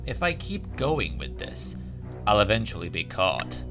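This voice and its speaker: A man's voice: lowish voice, huge pitch swings, measured pace. A man hosting a let's play, chatting casually and reading the game's text aloud. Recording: music behind the voice. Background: game's guitar music.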